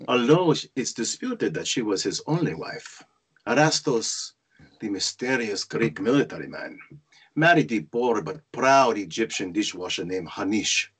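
A man's voice: fairly low pitch, expressive, a little slow, fairly bright tone, husky, heavily acted. A man playing a wry, brooding grown son who narrates his parents' story in a screenplay cold read over a video call. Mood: solemn. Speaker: actor reading narrator part. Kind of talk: dramatic first-person narration at a cold read. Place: indoor room on a video call.

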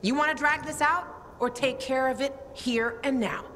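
An actress playing a tough, jaded survivor in a video game, blunt, sardonic and profane. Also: female voice, high voice, moderate pace, fairly bright tone, a little hiss or hum. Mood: insistent. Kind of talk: video game dramatic acting.

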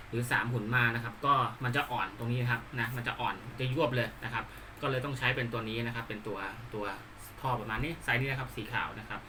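A man giving an unscripted hands-on tutorial, explaining politely in a halting, repetitive way. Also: medium pitch, background noise. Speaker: hobbyist presenter demonstrating a DIY build.

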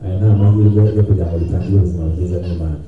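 A man's voice: deep voice, steady tone, rapid-fire. A young man interpreting a sermon phrase by phrase in a church hall. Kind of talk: consecutive sermon interpreting into Kinyarwanda. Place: church hall, handheld microphone through speakers. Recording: muffled, very echoey hall, distant pickup.

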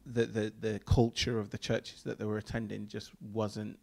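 A man in his thirties, a church leader, talking in a relaxed conversational way with frequent ums and easy laughs, on a handheld mic in a small room. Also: on the low side, normal speed, gravelly. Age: 30s. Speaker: church leader, mission coordinator. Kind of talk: casual conversation.

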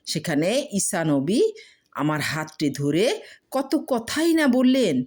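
Woman doing an excited braggy traveller man, gushing, storybook style, pitch all over. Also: slow, full character voice. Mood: excited, boastful.

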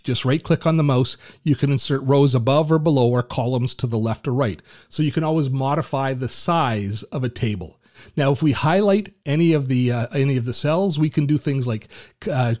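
A Canadian man in his fifties hosting a screen-share software tutorial from his home office, chatty and enthusiastic with self-deprecating asides. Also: medium pitch. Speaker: tech channel host. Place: home office with shelves and artwork. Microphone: large studio mic on a boom arm.

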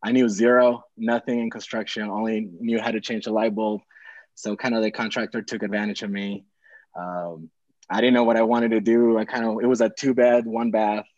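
Low-voiced young man on a dull call. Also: flat delivery, normal speed, a little mellow, no echo. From Peru. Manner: loose, rambling storytelling with many fillers and restarts. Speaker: real estate investor guest. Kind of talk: casual video call chat. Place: small home room indoors. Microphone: video call with earbuds. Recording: dull, dry video call audio.

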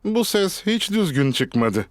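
A man playing a cheerful storybook narrator for a children's cartoon, speaking clearly in an animated storytelling manner. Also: high-pitched, slow, dry recording, full character voice. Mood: amused.

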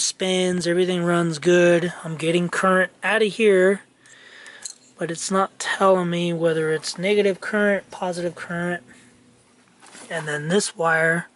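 A man, casually thinking aloud lots of ums and soft muttering while he tests wiring outdoors. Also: high-pitched, slight background noise. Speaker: vlogger. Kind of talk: casual hands-on narration while testing a tractor's wiring. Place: outdoor yard and garage workshop.

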